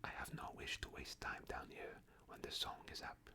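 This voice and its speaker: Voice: normal speed, bright, forceful tone. Reader whispering French-accented merry elves. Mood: impatient. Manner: teasing, impatient. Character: merry impatient cellar elves. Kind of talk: whispered ASMR storytelling.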